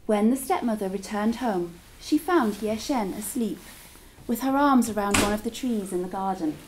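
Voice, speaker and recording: A woman reading a story aloud to children as a teacher, in an expressive, deliberate storytelling style with drawn-out words. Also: medium pitch, slight background noise, rough recording.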